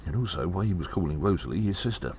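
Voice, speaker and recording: A man playing a weary gnome investigator in an audio drama, musing haltingly and deliberately with breathy sighs. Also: sits low, expressive, a little mellow. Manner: slow, deliberate, halting, pondering. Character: weary gnome investigator reporting on a spy conspiracy. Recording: faint background noise.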